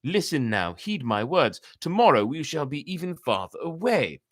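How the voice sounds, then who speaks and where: A man's voice: on the high side, sing-song, normal speed, dry recording, clean studio sound, pitched above the performer's own voice. Man voicing a stern storybook cat, raised voice. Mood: stern warning. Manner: emphatic, admonishing. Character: stern, protective male cat scolding in a storybook.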